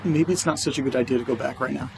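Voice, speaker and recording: Man acting fast-talking, sarcastic, anxious guy. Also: mid-range voice, gravelly, a little mellow, slight background noise, close to an everyday voice. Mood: uneasy, sarcastic. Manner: sarcastic, exasperated. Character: anxious, sarcastic young man arguing with his friend. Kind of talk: casual naturalistic audio drama dialogue.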